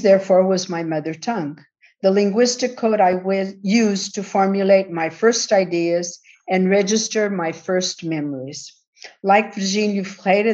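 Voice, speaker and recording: A middle-aged woman from the Azores, raised in California, reading a prepared conference paper in a measured, reflective way. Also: mid-range voice, darkish tone. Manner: measured, reflective, bilingual delivery. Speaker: conference panel speaker. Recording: dull lo-fi audio.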